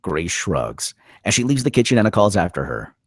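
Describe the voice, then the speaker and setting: A man's voice: low voice, sing-song, normal speed, no room sound, clean studio sound. A man reading screenplay stage directions as scripted narration, in terse, dramatic phrases.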